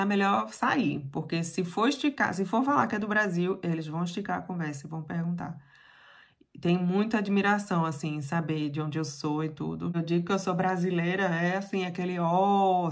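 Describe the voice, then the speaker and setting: A woman in her thirties from Brazil with a Brazilian Portuguese accent, telling stories to camera in a small room at home, chatty and animated. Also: mid-range voice. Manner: chatty, animated, acts out others' lines in a raised voice. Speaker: vlogger. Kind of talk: casual storytelling to camera.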